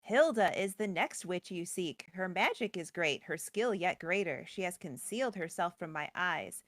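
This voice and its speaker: Woman as solemn fae lord, storybook quest giver, formal, commanding, measured, dry recording. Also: on the low side, lively intonation, a bit bright, no room sound, voice pushed down.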